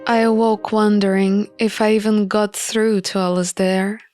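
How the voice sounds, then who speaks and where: A woman narrating a visual novel in an expressive, measured storybook style. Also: mid-range voice. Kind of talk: expressive storybook-like reading of game text. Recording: music behind the voice. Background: music.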